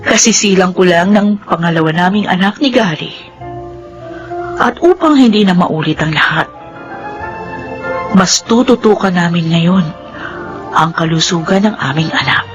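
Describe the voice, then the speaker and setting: Actress as bereaved but steadfast mother for radio drama; Filipino accent, unhurried solemn telling over a music bed. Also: on the low side, natural voice. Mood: solemn, resolute. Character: Vivian, grieving but resilient mother telling her story. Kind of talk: radio drama first-person narration.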